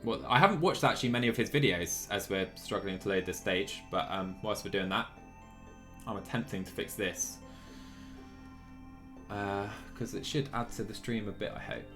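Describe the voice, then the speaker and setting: A man's voice: fairly low pitch, normal speed, a bit bright. A man live-streaming a game, chatting casually and a little apologetically as he thinks aloud. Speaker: live streamer playing a cycling management game. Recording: music playing behind the voice. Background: background music.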